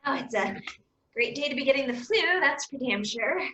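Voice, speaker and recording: A woman's voice: high-pitched, moderate pace, pushed and bright, echoey room, slight background noise. A woman playing a tough, bossy, sarcastic squad leader in a comic stage play, blunt and threatening. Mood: exasperated.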